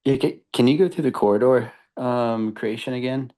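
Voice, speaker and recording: A man's voice: medium pitch, normal speed, warm tone. A man asking questions during a video workshop, hesitant and stammering over his words. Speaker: workshop participant.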